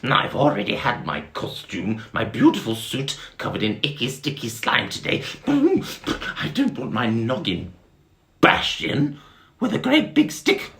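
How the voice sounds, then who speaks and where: A man voicing a fussy, timid male ladybird, shrill and prissy, in a storybook reading. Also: wildly swooping pitch, unhurried, vocal fry, fairly bright tone, reverberant, heavily acted. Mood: flustered indignant.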